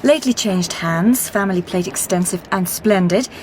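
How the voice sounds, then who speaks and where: Female actor as shrewd period lady detective in audio drama. Medium pitch, measured, quietly probing, clear noise behind. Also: lightly acted. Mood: shrewd, composed. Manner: measured, probing, dryly questioning. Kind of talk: period radio drama acting.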